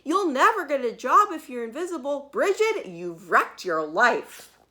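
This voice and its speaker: A dramatic storybook mom, acted out by a woman reading aloud, easily alarmed and scolding. Her voice is bright and pushed high, swinging widely as she exclaims and frets with great emphasis. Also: sing-song, a little slow, full character voice. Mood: panicked, wailing.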